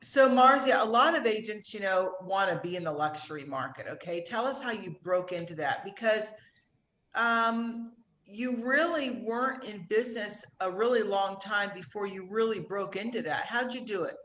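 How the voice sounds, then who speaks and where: A woman's voice: medium pitch, normal speed, a bit bright, slight background noise. A woman interviewing a real estate agent she once coached, asking questions in an upbeat, prompting way. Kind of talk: interview chat. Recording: echoey room.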